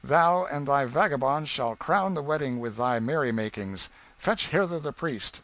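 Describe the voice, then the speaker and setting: A man's voice: mid-range voice, lively intonation, moderate pace, fairly bright tone, voice pushed up. A man voicing a brutal, pompous tyrant count from a storybook, with bombastic, threatening archaic speech. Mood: blustering rage.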